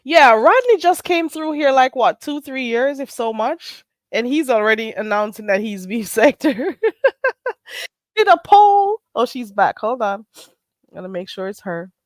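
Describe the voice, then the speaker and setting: A high-pitched woman with very animated intonation, recorded close and dry. She moderates an informal online panel in a casual, amused way. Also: unhurried, dry recording. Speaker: host of a live online panel.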